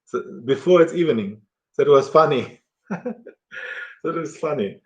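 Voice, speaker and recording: A middle-aged German man talking casually to camera in halting, self-correcting English with a German accent. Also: fairly high pitch, expressive, lo-fi sound.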